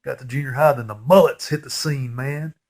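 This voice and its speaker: A man's voice: mid-range voice, steady tone, fairly quick, darkish tone. A man in his forties with a nasal head cold, giving a casual, rambling fan commentary over a movie with geeky enthusiasm.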